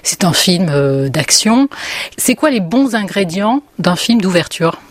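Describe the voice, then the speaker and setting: A woman interviewer asking measured, prepared questions in a radio interview. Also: on the low side, big pitch swings, no echo.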